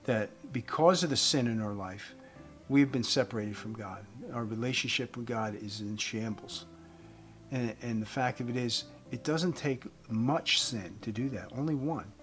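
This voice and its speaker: A man in his fifties giving an earnest evangelistic talk to camera as a host, phrasing deliberately with emphasis. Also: medium pitch, fairly bright tone. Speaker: host giving a faith message. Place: indoors, room with wooden beams. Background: guitar music.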